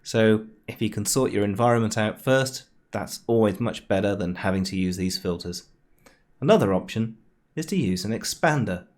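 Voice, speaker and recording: Guy with a fairly low voice doing a chatty screencast how-to, dry understated bits. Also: moderate pace, a little mellow. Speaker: tutorial host. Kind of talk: screencast software tutorial, informal. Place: home room, voice-over recorded near computer.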